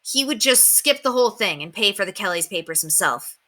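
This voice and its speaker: A woman reading a children's book aloud in an expressive storytelling style, acting out the dialogue in an animated way. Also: medium pitch, a little fast. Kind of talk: expressive read-aloud narration.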